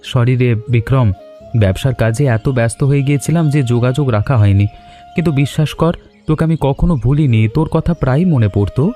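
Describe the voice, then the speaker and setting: Man as devoted young cartoon businessman, earnest, mellow tone, faint background music. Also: mid-range voice, fairly quick, close to an everyday voice. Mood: friendly, apologetic. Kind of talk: animated story dialogue.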